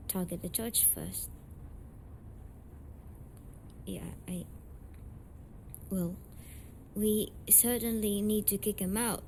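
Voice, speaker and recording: Casting: young tsundere delinquent heiress played by a woman, emotional and halting, intimate audio drama monologue, audible noise behind. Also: on the low side, mellow, poor audio. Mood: anxious, hesitant. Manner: emotional, halting, swears freely.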